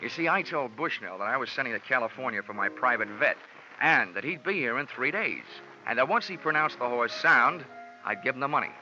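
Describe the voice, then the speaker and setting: A man's voice: mid-range voice, lively intonation, a little fast, pushed and bright. A man acting a wry, hard-boiled insurance investigator in 1940s radio detective style, with clipped wisecracking delivery. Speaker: lead actor and narrator. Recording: dull vintage radio recording, music behind.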